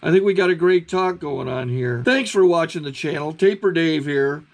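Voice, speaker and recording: A man's voice: fairly high pitch, gravelly, crowd noise. Guy talking thru his drone setup from his truck, laid back, kinda stumbly, faint traffic noise. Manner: relaxed, halting, self-correcting. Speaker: channel host, recording taper. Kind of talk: casual how-to demonstration. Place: inside a truck cab. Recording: faint car and outdoor noise.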